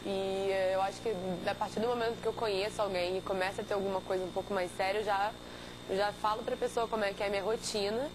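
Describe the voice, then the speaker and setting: A woman's voice: mid-range voice, steady tone, quick pace, noisy background. A woman in her twenties with a Carioca accent, a swimmer answering interview questions earnestly. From Rio de Janeiro, Brazil. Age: 20s. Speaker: competitive swimmer, interview guest.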